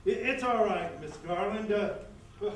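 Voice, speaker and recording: A man's voice: high voice, animated, normal speed. A man playing a jittery, placating train conductor with a hesitant, reassuring manner in a staged comedy reading. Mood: nervous, chatty. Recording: distant, echoey stage recording, faint noise.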